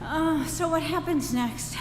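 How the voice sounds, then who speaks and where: A woman's voice: high-pitched, unhurried, music in the background. A woman playing an anxious, exasperated and hapless Earthman in a live staged comedy play, with a plaintive delivery. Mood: plaintive resignation. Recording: echoey reverberant hall.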